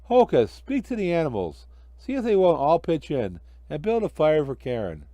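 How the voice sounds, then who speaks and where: A man's voice: fairly high pitch, wildly swooping pitch, moderate pace, dark tone, no echo, dull audio. A man voicing a naive, cheerful talking snowman with an eager, innocent manner in a children's story. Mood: worried. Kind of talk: amateur children's storybook reading.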